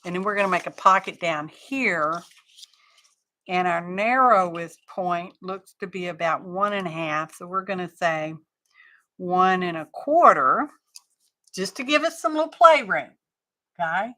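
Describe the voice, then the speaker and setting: Middle-aged woman, unhurried chatty craft host, bright edge to the voice. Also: lowish voice, expressive. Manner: chatty, rambling, talks to chat viewers. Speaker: craft live-stream host. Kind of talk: casual chat while crafting on a live stream.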